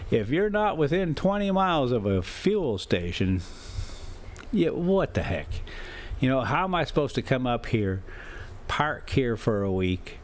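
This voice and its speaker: Animated, folksy American man rambling. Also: medium pitch. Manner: folksy, teasing, sarcastic, rambling. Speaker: travel vlogger, driver narrating a trip. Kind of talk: casual rambling chat and ranting.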